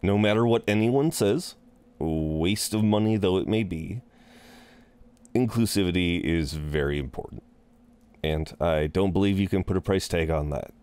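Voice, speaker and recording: A man playing a courteous, chatty incubus innkeeper in an intimate audio roleplay, with polite, hesitant pauses and a flirtatious streak. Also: low-pitched, darkish tone, clear, resonant tone, no echo. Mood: courteous, amused. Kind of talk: intimate scripted roleplay acting.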